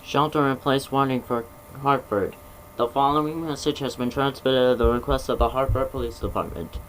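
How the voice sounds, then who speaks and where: A man's voice: mid-range voice, steady tone, soft background music, rough recording. Man reading a mock emergency alert. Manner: measured official delivery with stumbles and restarts.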